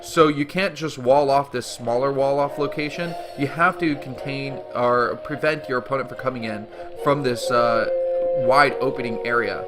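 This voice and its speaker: A man's voice: medium pitch, normal speed. A man giving upbeat, explanatory play-by-play commentary on a strategy game, with fillers and restarts, background music behind. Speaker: game caster. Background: background music at the start.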